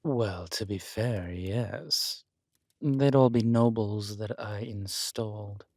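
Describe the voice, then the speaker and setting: A man's voice: lowish voice, slow pace, a little mellow, no room sound, clean studio sound. A man playing a scheming, flirtatious villain king in an intimate roleplay audio drama, with a languid, teasing, menacing delivery. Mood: coolly menacing.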